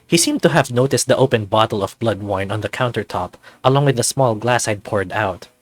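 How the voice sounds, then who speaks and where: A man's voice: on the low side, animated, a little fast, no room sound. A man reading scripted first-person story narration for a visual novel.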